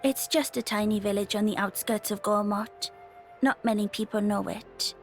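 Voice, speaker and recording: A woman's voice: mid-range voice, steady tone, normal speed, soft background music, natural voice. A woman voicing a defiant, street-tough young boy thief who talks in a clipped way. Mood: sullen. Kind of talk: video game voice acting.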